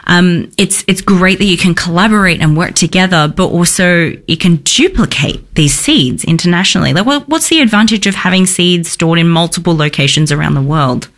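A friendly, chatty interviewer asks long, rambling questions. The voice sits at a medium pitch and leans on fillers like "like" and "um". Quick laughs break in now and then. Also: expressive, fairly bright tone. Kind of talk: casual interview chat.

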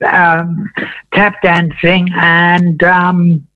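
A man in his eighties from Wales, a veteran entertainer telling his story in a radio interview, slow and meandering with many ums. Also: high voice, completely flat delivery, dry recording, lo-fi sound. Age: 80s.

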